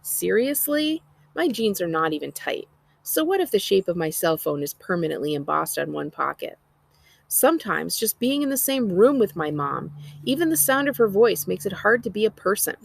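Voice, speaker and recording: A woman's voice: mid-range voice, big pitch swings, moderate pace, gravelly, no room sound. An American woman voicing a sardonic, exasperated twelve-year-old girl narrating her life in a storybook read-aloud. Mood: annoyed. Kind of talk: expressive audiobook-style reading.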